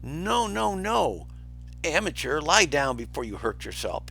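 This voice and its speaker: Storybook meerkat sidekick, played by a male reader: bossy, excitable, pitched high with big swoops. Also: measured pace, creaky, husky, soft background music, exaggerated voice. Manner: bossy, exclamatory.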